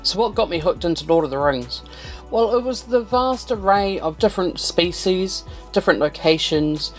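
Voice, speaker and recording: A man's voice: sits high, normal speed, faint music behind. A man hosting a fan video, talking casually to camera with lots of fillers. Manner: relaxed, filler-heavy talk. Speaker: host.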